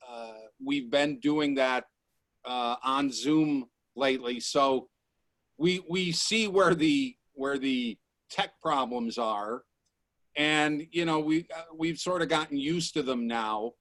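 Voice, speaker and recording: A man talking casually on a video call, telling stories in a dry, joking, halting way. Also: medium pitch, a bit bright, no room sound. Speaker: actor on a post-show panel. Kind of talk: casual storytelling. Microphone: video call microphone.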